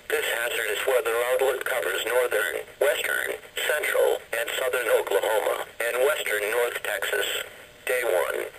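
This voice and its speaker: Bright-voiced man reading weather radio bulletins. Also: medium pitch. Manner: formal, stilted automated delivery. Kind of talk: scripted weather bulletin readout. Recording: noisy weather radio broadcast.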